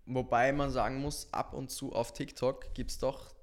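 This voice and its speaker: A man of eighteen with an Austrian accent speaks as a guest in a casual interview. His voice sits at an ordinary male pitch. He talks conversationally about himself, as a social media creator would. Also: level tone, measured pace.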